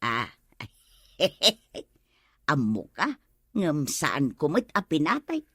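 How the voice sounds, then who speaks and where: An actress playing a lazy, nosy, exclamatory housewife in a comedy radio drama. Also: female voice, deep voice, huge pitch swings, drawn-out words, fairly bright tone, husky, no room sound, clean studio sound, exaggerated voice. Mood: playful, exclamatory. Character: lazy, nosy housewife with high blood pressure.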